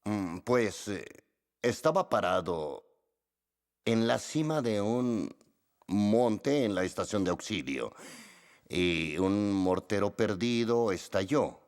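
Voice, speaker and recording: A man giving a halting, hesitant account as a war veteran in a dubbed documentary interview. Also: fairly low pitch, lively intonation.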